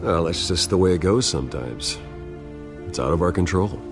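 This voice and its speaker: A wealthy businessman in a dramatic video game. The voice is very low yet varied in pitch, measured and world-weary, with faint music behind. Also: expressive. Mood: cool, resigned. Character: composed, wealthy adult male businessman, world-weary and guarded.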